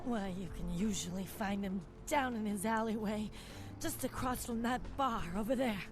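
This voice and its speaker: A woman's voice: mid-range voice, moderate pace, close to an everyday voice. An actress playing a paranoid, jittery homeless woman in a video game, with defensive, folksy speech. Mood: compliant, nervous. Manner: defensive, agitated, folksy grammar. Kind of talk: video game character acting. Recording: game music behind.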